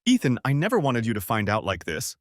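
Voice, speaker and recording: A man voicing a guilty, fragile wife who pleads tearfully and haltingly in a story. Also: mid-range voice, very animated, a bit bright, no echo, clean studio sound. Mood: sobbing apology. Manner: pleading, halting, sobbing delivery.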